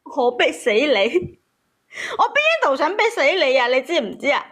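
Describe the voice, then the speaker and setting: A woman's voice: sits high, big pitch swings, moderate pace, fairly bright tone. A woman calling in to tell her grievance in an agitated, indignant way, repeating words for emphasis. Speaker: caller telling a family grievance. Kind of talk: emotional call-in storytelling.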